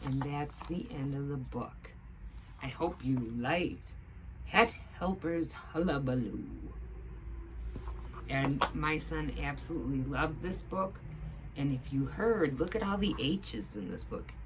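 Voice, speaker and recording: A woman's voice: low-pitched, expressive, slow pace, background noise. A woman teaching assistant reading a picture book aloud expressively, with teacherly asides.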